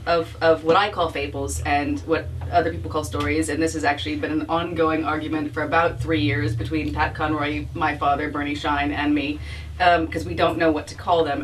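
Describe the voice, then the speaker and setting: A woman author speaking informally at a bookstore event, reflective and self-deprecating, often restarting her phrases as she explains her work. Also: lowish voice. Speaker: author being interviewed about her book. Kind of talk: informal spoken book talk with audience. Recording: distant mic, faint room noise.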